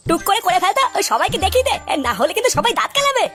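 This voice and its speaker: Very shrill, brash cartoon kid. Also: very animated, rapid-fire, sharp tone, soft background music, heavily acted. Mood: cocky excited. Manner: scolding, crude slangy banter. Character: foul-mouthed, bickering cartoon village boys, brash and quarrelsome. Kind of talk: Bengali comedy cartoon dub.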